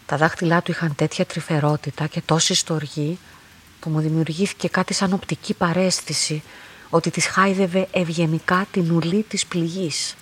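An actress playing a lonely, watchful young female lab clerk who narrates a radio drama, soft and husky. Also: on the low side, steady tone. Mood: watchful, introspective. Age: young adult.

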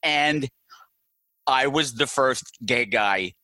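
A middle-aged man hosting a casual movie chat show, playful and quick to laugh, with jokey asides. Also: mid-range voice, pushed and bright, dry recording. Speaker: podcast host.

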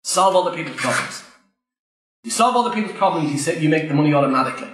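An Irish man with a high, bright voice that rings through a very echoey room. He talks to a live audience like a coach, hammering key points by saying them twice and calling out to the crowd. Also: lively intonation, quick pace. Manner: emphatic, repeats key phrases, addresses the crowd directly. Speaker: property investment trainer.

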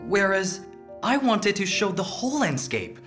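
A woman playing a passionate, devoted young male painter in a radio drama, earnest and halting. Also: medium pitch, sing-song, a bit bright, soft background music.

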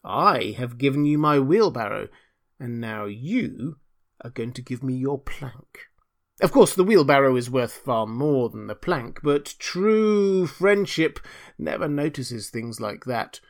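A man's voice: mid-range voice, lively intonation, slow pace. A man voicing a greedy, self-righteous miller from a storybook, pompous and sanctimonious, drawing out his phrases for emphasis. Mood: grasping. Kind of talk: storybook character reading.

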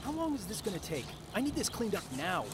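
A haughty townsman, demanding and pompous, with a high, swooping voice. He is set in clearly noisy outdoor sound in an audio drama. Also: sing-song, fast talker. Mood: indignant. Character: haughty, impatient man from old town family.

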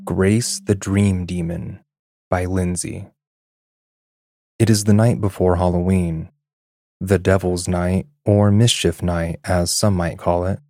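Very deep, dark-toned male narrator. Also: little pitch movement, slow pace, dry recording, studio quality. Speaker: podcast narrator reading listener stories. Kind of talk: scripted narration.

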